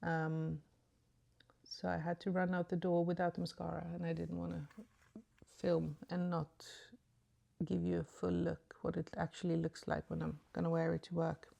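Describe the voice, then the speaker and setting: Lady rambling thru her makeup, lots of ums, lowish voice, sleepy morning vibe. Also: level tone, moderate pace, creaky, dark tone. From Sweden. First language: Swedish. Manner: rambling and chatty, many ums. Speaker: beauty vlogger. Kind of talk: casual makeup tutorial chat.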